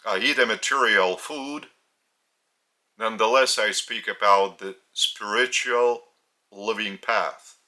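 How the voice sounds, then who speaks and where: A man from Lithuania with a Lithuanian accent, a spiritual teacher speaking in short, halting phrases, his native language being Lithuanian. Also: fairly low pitch, sharp tone. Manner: halting, phrase-by-phrase delivery with pauses. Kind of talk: slow spiritual teaching talk.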